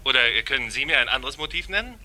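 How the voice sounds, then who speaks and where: An actor playing a persistent newspaper reporter in a German radio play, questioning politely but insistently. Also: male voice, medium pitch, expressive, very fast, crowd noise, close to an everyday voice. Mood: probing, insistent.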